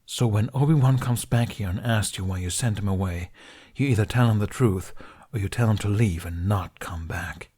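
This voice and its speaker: A man's voice: on the low side, moderate pace, dark tone, breathy edge. A man voicing a furious, grieving middle-aged knight who speaks in a heated, accusing, raised voice in an audiobook reading. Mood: bitter.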